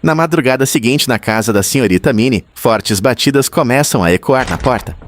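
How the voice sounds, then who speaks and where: A man's voice: medium pitch, animated, fairly quick, a little hiss or hum. A man with a Brazilian accent narrating a horror story as host of a video.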